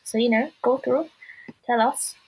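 Husky-voiced young woman. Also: fairly high pitch, measured pace, darkish tone, rough recording. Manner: emphatic, rhetorical questions. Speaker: podcast host. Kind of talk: casual podcast chat.

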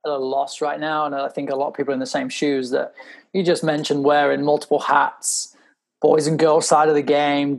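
A man's voice: fairly high pitch, gravelly. A man hosting a casual podcast interview, chatty and often repeating words as he thinks. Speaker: podcast host.

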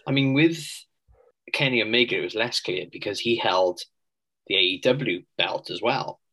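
Low, bright-voiced podcast man. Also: slightly rough, rough recording. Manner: measured, thoughtful, analytical. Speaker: podcast co-host. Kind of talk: casual chat.

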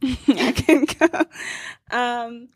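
A woman's voice: on the high side, very slow, slightly rough, dry recording. A woman from Cameroon speaking as a panelist in a discussion, earnest and encouraging, laughing easily.

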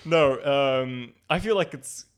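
A man's voice: fairly high pitch, animated, unhurried. A man in his twenties in a casual chat, talking loosely and laughing often. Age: 20s.

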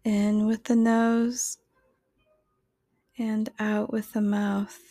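A woman reading a scripted guided meditation in a soothing, gentle, measured manner. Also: mid-range voice, flat delivery, no room sound, clean studio sound.

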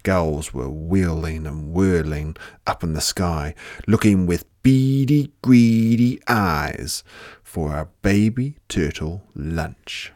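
Animated, low-voiced male children's storyteller. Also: slow, no room sound, clean studio sound. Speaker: storyteller reading a picture book aloud. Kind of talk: expressive children's story narration.

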